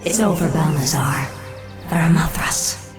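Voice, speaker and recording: A woman's voice: low voice, measured pace. Cold, imperious undead elf queen. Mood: stern. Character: cold vengeful undead elf woman commanding banshees. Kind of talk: dramatic video game voice acting. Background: loud game music.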